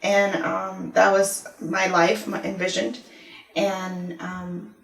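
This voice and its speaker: A woman's voice: mid-range voice, little pitch movement, creaky, fairly bright tone. Woman telling her story in an echoey room. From Ecuador. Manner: thoughtful, many "um" pauses, storytelling. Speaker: university discipline office director. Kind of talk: conversational testimony interview. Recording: echoey room, mic at a distance.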